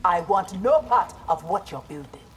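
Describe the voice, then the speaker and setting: A woman plays a proud ninja warrior in a fighting game. Her low voice is formal, earnest and resolute, with a faint layer of noise behind it. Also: lively intonation, moderate pace, natural voice. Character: proud, honorable female ninja warrior in armor. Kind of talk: video game character dialogue.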